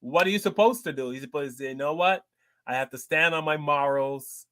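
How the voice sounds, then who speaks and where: A man's voice: on the high side, brisk, a bit bright, no room sound, clean studio sound. A man, a guest comedian talking casually on a panel show and animatedly acting out exchanges as he makes his points.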